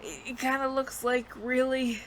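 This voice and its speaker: A woman's voice: sits high, little pitch movement, moderate pace, fairly bright tone, a little hiss or hum. A woman playing a nervous, evasive alien roommate in an audio roleplay, stammering and over-explaining to the listener. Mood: nervous, defensive.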